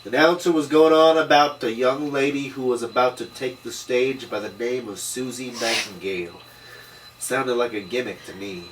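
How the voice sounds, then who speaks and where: A cynical, wisecracking noir private eye, acted by a man at a table read, tells his story in tough street slang. He has a mid-pitched voice, picked up from a distance in a room with some background noise. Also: steady tone, moderate pace, lightly acted. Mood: wry, world-weary. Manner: hardboiled slangy first-person storytelling. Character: cynical, hard-drinking male noir detective, tough and wisecracking. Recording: distant microphone, noisy room.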